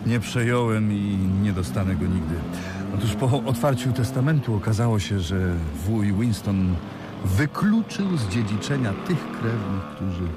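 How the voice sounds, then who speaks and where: A man's voice: fairly low pitch, expressive, a little slow, loud music behind the voice. A man playing a polite, earnest young heir in a radio play. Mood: wry, resigned. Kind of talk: Polish radio play acting.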